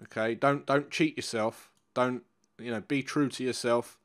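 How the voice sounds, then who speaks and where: Congested nasal guy talking through charts at his desk, casual coach vibe, mid-pitch voice. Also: fairly even intonation, unhurried. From England. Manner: casual, instructive, repeats key points. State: congested, blocked nose. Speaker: currency trading coach. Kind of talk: live trading room chart walkthrough.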